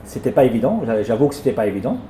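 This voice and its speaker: Hesitant man, mellow voice, echoey room. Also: mid-range voice, very animated, rapid-fire, a little hiss or hum. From France. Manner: hesitant, repeats words often, warm and plain. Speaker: restaurant owner. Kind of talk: casual interview answers.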